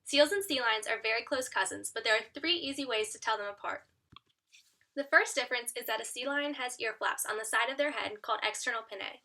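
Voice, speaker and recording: Bright, higher-pitched young woman presenting. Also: a little fast, creaky. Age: young adult. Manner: upbeat presenter addressing an audience. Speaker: student giving an audition presentation. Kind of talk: scripted educational show presentation.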